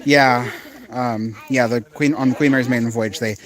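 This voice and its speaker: An easygoing man with a Southern Californian accent chats through a live stream from an outdoor park. His pitch sits in a middle range for a man, and his delivery is loose and conversational. He reads viewer comments aloud and riffs on each one. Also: steady tone, normal speed. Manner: easygoing, chatty, with frequent fillers and restarts.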